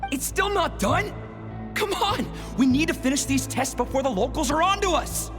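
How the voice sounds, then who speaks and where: An irritable, sneaky bandit, high in pitch and very animated. The delivery is bossy and impatient, video game villain style, with music underneath. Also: female voice, normal speed. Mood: irritated. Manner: impatient, scolding. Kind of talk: video game cutscene acting.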